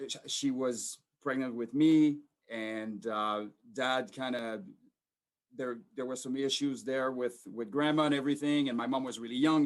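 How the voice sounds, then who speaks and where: A man in his forties from Quebec, a police sergeant major giving an informal talk to students over a video call, rambling with many fillers and restarts. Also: mid-range voice. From Quebec, Canada. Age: 40s. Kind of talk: informal talk to a school audience.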